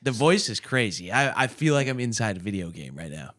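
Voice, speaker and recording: A man co-hosting a podcast, chatting casually like an excited fan. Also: fairly low pitch, brisk. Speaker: podcast co-host.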